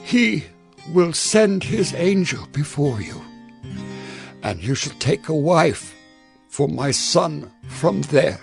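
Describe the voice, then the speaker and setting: An actor playing an aged, solemn patriarch who commands his servant in deliberate short phrases, in a dramatized scripture reading. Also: fairly high pitch, animated, creaky, faint music behind, full character voice. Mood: grave, insistent. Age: older.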